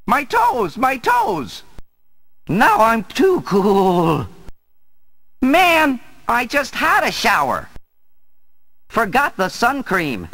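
A goofy teenage turtle who cracks jokes in a bright, bouncy voice. His quips are drawn out slowly and swing wildly in pitch. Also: wildly swooping pitch, heavily acted. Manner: jokey, exaggerated quips.

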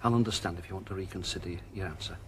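An actor playing a fussy but soft-hearted middle-aged shopkeeper, blustering one moment and tender the next. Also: male voice, deep voice, racing, slight background noise. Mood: earnest. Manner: bustling, blustering then tender. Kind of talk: TV soap drama acting.

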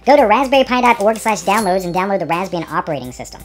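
A woman's voice: medium pitch, animated, quick pace. A woman reading scripted step-by-step technical instructions. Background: background music.

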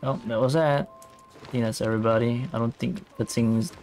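Male streamer, casual playthrough chat: very slow, creaky, laid-back, musing aloud. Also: mid-range voice, fairly even intonation, darkish tone. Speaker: game streamer. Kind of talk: casual live playthrough commentary. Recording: faint game music behind. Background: video game music.

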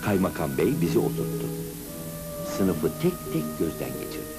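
An actor narrating a cartoon as a grown man recalling his boyhood school days, in an expressive, scripted storybook manner. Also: low-pitched, moderate pace, gravelly, loud music behind the voice, full character voice. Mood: reminiscing, measured.

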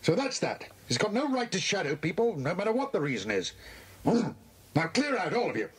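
An actor playing a hostile, bullying hotel guest with a gruff, snappish British manner of speech in a children's audio drama. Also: male voice, high voice, sing-song, normal speed, exaggerated voice. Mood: irritated, hostile.

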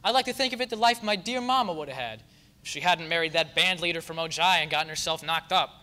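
A young man playing a lovable drifter in a radio soap on stage, halting as he improvises. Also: on the high side, sharp tone. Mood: swaggering. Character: gruff but lovable drifter, improvised by flustered radio actor. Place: theater stage.

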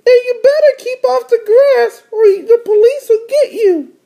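A man voicing a cocky, bratty little girl in a squeaky falsetto for a children's picture book. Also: unhurried, vocal fry, dark tone, heavily acted. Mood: boastful. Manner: boastful, insistent. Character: bratty, cocky little girl, know-it-all younger sister.

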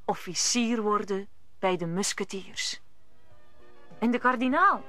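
Woman narrating a radio play, medium pitch. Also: unhurried, pushed and bright, dry recording, faint music behind.

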